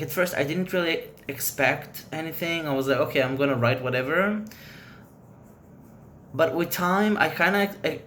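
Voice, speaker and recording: A man's voice: mid-range voice, fairly bright tone, a little hiss or hum. Rambling native Hebrew speaker, man. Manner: rambling, loose, frank opinions. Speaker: vlogger. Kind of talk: casual chat to camera.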